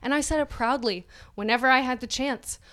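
A woman's voice: sits high, brisk, a bit bright. A woman co-hosting a podcast, doing a mock-dramatic reading of an article and laughing through her lines. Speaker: podcast co-host.

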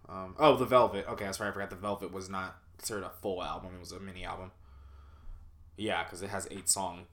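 A man's voice: sits low, a bit bright. A man hosting a solo podcast, rambling casually and self-deprecatingly with lots of fillers. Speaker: podcast host.

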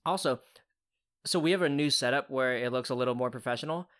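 A woman's voice: extremely low pitch, fast talker. A young woman co-hosting a podcast in casual chat, rambling through stories in a laid-back way. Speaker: podcast co-host.

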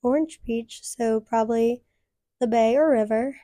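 A young woman hosting a casual chat, upbeat and full of fillers, her voice a little hoarse from losing it. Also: on the high side, measured pace, mellow, no room sound, studio quality. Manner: chatty, upbeat, full of "like" and "literally". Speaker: podcast host.

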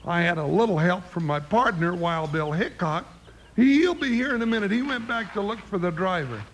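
An actor playing a big, good-natured cowboy deputy in a radio western, with a rustic American drawl and an animated, homespun manner. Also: male voice, sits high, normal speed. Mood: earnest, soothing. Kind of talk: old-time radio western acting. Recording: noisy vintage radio recording.

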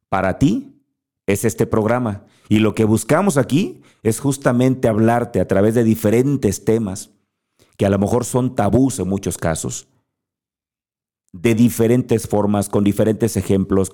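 Warm low-voiced Mexican man. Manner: earnest, speaks directly to the listener. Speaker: podcast host. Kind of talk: motivational monologue.

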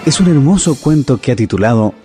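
A man's voice: mid-range voice, lively intonation, a little fast, dark tone. A man presenting a radio drama in a scripted announcer style. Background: music.

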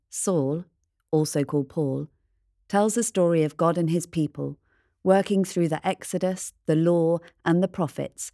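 A woman's voice: low voice, dark tone, dry recording, studio quality. A woman reading a scripted devotional narration. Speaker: devotional reader.

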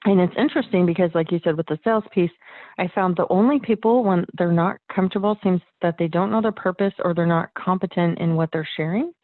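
A woman's voice: lowish voice, fast, dry recording, narrow, tinny audio. A woman hosting a casual interview in an upbeat, affirming, informal manner. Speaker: podcast host, business coach.

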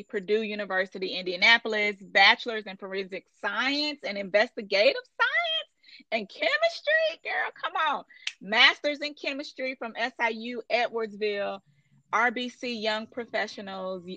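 A woman's voice: on the high side, normal speed, sharp tone, no room sound. Woman, interview host, enthusiastic, affirming, slangy, dry dull lo-fi recording.

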